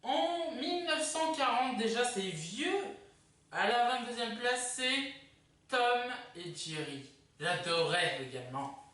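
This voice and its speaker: A presenter casually hosting a countdown video, chatty and halting, with self-corrections. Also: medium pitch, very animated, a bit bright. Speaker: host of a countdown video channel. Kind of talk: casual presenting of a ranking list to camera. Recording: echoey room, distant mic.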